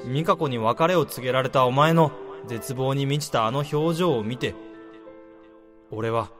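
A man's voice: medium pitch, measured pace, a bit bright, in a large hall, faint music behind, natural voice. An actor playing a young male section chief with a guilty conscience in a radio drama. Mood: guilt-ridden. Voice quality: breezy at first, then anguished inner monologue.

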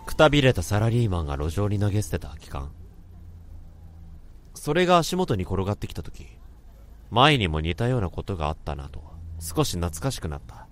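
A man's voice: low-pitched, sing-song, fairly quick. A man playing a remorseful office worker who hears others' thoughts, narrating his story in an introspective, self-questioning way. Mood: reflective. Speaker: narrator and lead character. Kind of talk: radio drama acting and narration.

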